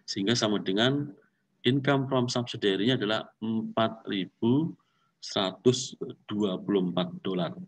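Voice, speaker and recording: A man with a fairly low voice gives a careful, methodical accounting lecture. He goes through the numbers step by step and repeats key figures and terms. He sounds a little far from the microphone. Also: normal speed, husky.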